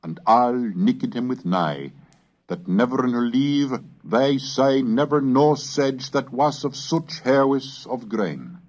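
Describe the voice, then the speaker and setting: A man reciting medieval alliterative verse in a measured, declamatory, rhythmic way, as a reader of historical texts. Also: medium pitch, expressive, darkish tone. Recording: dull lo-fi 1970s cassette recording.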